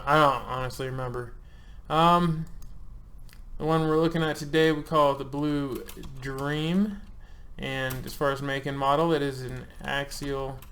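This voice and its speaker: A man's voice: medium pitch, a bit bright. A man in his thirties talks viewers through an online form at an easy, conversational pace. His delivery is loose and joking, full of filler words. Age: 30s. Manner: loose, chatty, joking, with frequent ums and uhs. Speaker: channel host. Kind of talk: casual screen-share walkthrough.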